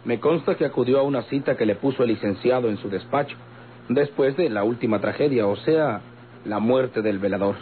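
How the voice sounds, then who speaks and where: A man acts out a courteous Mexican police commander, grave and worried. He speaks formally and deliberately in a mid-range voice. It is vintage radio drama, with thin, tinny sound and some hiss. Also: mid-range voice, natural voice. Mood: grave concern. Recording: tinny, hissy old radio recording.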